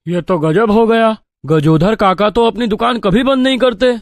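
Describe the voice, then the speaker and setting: Guy doing a young village fella, plain-spoken, excitable, highish voice, storybook acting, dry sound. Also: normal speed, dry recording, close to an everyday voice. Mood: surprised, curious. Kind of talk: animated moral story dialogue.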